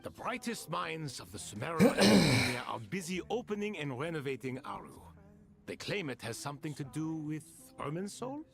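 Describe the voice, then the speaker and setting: Lively, wry male spirit. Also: on the high side, normal speed, vocal fry. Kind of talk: scripted video game dialogue. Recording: game music behind the voice.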